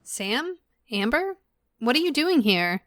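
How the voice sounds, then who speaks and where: A woman's voice: sits high, big pitch swings, takes it slowly, fairly bright tone, dry recording, heavily acted. A woman playing a guarded, weary young woman in a naturalistic audio drama. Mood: uneasy, reassuring.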